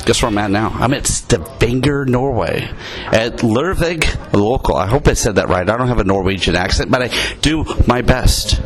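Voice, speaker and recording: A middle-aged man with a Texan accent hosts a podcast in a relaxed, rambling way. He swears freely and talks in loose fillers, at an ordinary pitch for a man. Music plays clearly behind him. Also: normal speed, few pauses. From Texas. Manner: laid-back, swears a lot, lots of fillers. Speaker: podcast host. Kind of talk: casual rambling chat.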